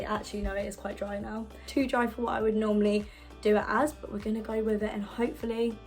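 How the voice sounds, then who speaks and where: A young woman, husky because she is unwell, chatting casually through a hair tutorial at home. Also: fairly high pitch. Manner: chatty, self-deprecating. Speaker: beauty vlogger.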